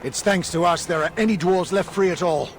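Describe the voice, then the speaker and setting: A man's voice: high-pitched, fairly quick. A man playing a talkative male dwarf rebel in a fantasy game, confident, flippant and wry. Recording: loud game music behind.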